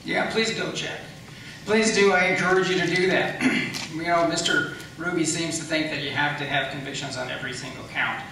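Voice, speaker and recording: A man's voice: fairly high pitch, fairly even intonation, normal speed, creaky, sharp tone. A man in a political debate making an argumentative rebuttal as a candidate, explaining step by step and posing questions. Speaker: candidate for county attorney. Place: large echoey room at a public debate. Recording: echoey room, distant mic, faint noise.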